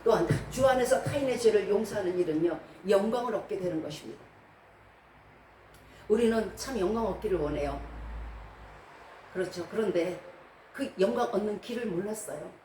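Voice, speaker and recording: A woman's voice: medium pitch, dark tone. A woman preacher delivering a didactic sermon, posing rhetorical questions to her congregation. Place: church hall during a service. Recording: echoey room, distant mic, background noise.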